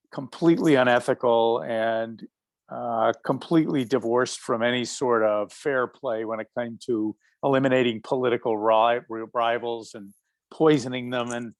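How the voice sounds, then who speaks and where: A man speaking as a panelist on a video call, talking haltingly with many fillers and restarts. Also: medium pitch, no echo. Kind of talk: casual panel discussion.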